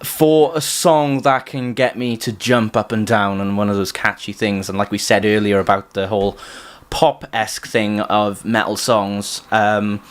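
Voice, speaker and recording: A man co-hosting a podcast, reacting casually to music and explaining his opinions in a halting, searching way. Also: fairly low pitch, little pitch movement. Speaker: podcast co-host reviewing songs.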